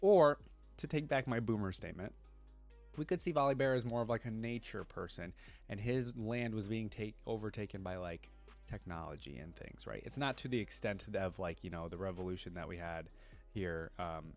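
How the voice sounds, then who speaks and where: A man's voice: on the low side, animated, normal speed, a little mellow, faint music behind. A man giving casual reaction commentary as a video host, thinking aloud in informal, slangy language.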